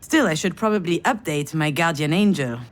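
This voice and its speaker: Wry, frazzled woman, low-pitched, noisy backdrop. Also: expressive, moderate pace. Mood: sardonic. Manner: sardonic, confessional, hesitant. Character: frazzled, self-deprecating woman screenwriter, wry inner monologue. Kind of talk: visual novel voice acting, first-person narration.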